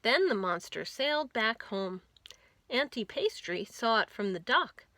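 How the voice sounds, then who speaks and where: A woman reads a children's story in a bright, higher-pitched voice. She takes her time and leans on words for drama. The sound is dry and close. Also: no echo. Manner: expressive, deliberate storytelling with dramatic emphasis. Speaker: children's librarian reading storytime. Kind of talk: animated picture-book read-aloud for young children.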